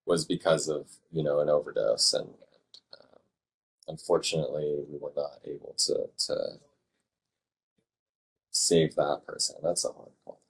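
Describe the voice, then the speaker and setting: A man working as a fire dispatcher, answering interview questions in a measured, thoughtful way with halting phrasing. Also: rumbling low, fairly even intonation, creaky, slightly rough, poor audio.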